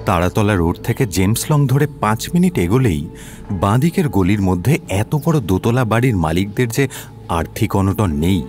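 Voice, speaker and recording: Expressive, low-voiced male story narrator. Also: moderate pace, over music. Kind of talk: scripted detective story narration.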